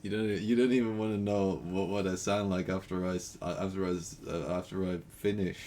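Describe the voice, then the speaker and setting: Low-voiced Dutch young man rambling. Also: steady tone, brisk, dark tone, slight background noise. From Netherlands. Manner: rambling, playful, laughs often. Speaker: game streamer. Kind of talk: casual gaming stream chat.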